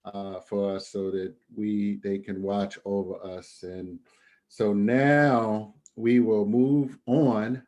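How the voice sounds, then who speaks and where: Slow low-voiced man introducing a guest. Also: fairly even intonation, darkish tone, lo-fi sound. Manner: slow, friendly, with fillers. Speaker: host. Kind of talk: introducing a speaker.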